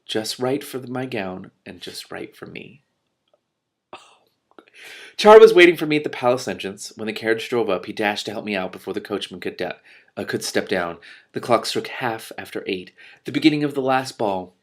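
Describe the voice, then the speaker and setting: Man reading a novel aloud, low-pitched voice. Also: expressive, normal speed. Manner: dramatic, reacts in whispers and exclamations. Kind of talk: expressive audiobook-style reading with spontaneous reactions.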